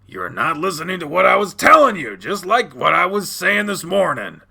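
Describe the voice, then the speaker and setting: A man's voice: mid-range voice, lively intonation, normal speed, vocal fry, bright, forceful tone, exaggerated voice. A man voicing a contemptuous, bullying animal father in a dramatic story, scolding with menace.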